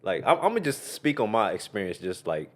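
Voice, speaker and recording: A man's voice: fairly low pitch, big pitch swings, brisk, a little hiss or hum. A young man from Columbus, Ohio, co-hosting a podcast, easygoing and slangy in casual chat. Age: young adult. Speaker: podcast co-host.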